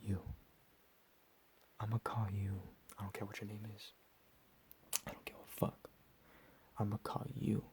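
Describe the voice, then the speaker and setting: A man whispering as a gravelly deranged captor. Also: on the low side, monotone, a little mellow. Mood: menacing. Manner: whispered, profane, menacing, drawn-out slow emphasis. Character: deranged menacing male captor, recently escaped asylum. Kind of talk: whispered ASMR roleplay.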